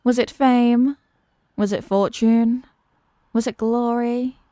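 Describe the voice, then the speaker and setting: A woman playing a menacing, mocking demon villainess in a fantasy audio roleplay, theatrical and taunting, with deliberate dramatic pauses. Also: a little high, steady tone, dark tone, dry recording, clean studio sound. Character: possessing demon villainess, menacing, mocking, tormented by borrowed love.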